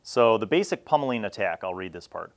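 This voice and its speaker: An American man from Chicago hosting a retro gaming review, talking casually and haltingly as he thinks aloud. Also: on the low side, expressive, gravelly. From Chicago, United States. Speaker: host of a retro gaming magazine review series. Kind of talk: casual rambling review to camera, leafing through a magazine.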